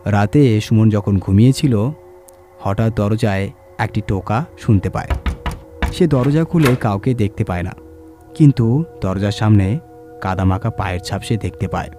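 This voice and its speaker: A man's voice: on the low side, normal speed, pauses often, over music. A man reading a scripted horror story as narrator, in a dramatic storytelling style.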